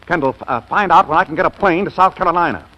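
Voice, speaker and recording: A man's voice: fairly high pitch, very fast, pushed and bright, low-quality recording. An actor playing a flustered, bossy businessman in a 1940s-style radio comedy, stammering and abrupt. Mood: urgent. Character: flustered, bossy businessman pushed into hasty marriage.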